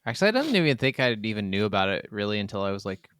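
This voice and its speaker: A man's voice: lowish voice, big pitch swings, rapid-fire, fairly bright tone, smooth, no room sound, clean studio sound. A man co-hosting a podcast, reacting casually with playful, jokey interjections. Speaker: podcast co-host.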